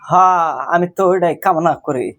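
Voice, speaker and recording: A man's voice: high voice, moderate pace, fairly bright tone. A man playing a fretful grandma. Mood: pleading. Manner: pleading, rambling and plaintive. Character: anxious old village grandmother fretting over her grandson. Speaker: prank caller. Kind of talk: prank phone call.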